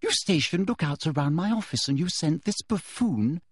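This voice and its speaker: Male reader doing a smug, soft-spoken cruel witch, pitched high and prim. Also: expressive, normal speed, breathy edge, no echo, studio quality. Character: cold, sweetly cruel middle-aged witch professor.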